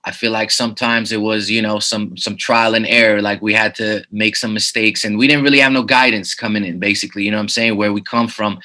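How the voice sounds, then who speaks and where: A man's voice: on the low side, steady tone, brisk, hardly any pauses, bright, forceful tone. A man in his thirties who grew up in the Netherlands, a rapper talking as an interview guest in a laid-back way, full of "you know what I'm saying" fillers. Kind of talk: casual interview.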